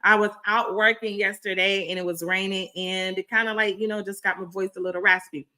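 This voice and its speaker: Female podcast host, slightly raspy, bright tone, effusive preacher-like delivery. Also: medium pitch, little pitch movement, brisk. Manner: effusive, repetitive, preacher-like cadence. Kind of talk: enthusiastic podcast intro.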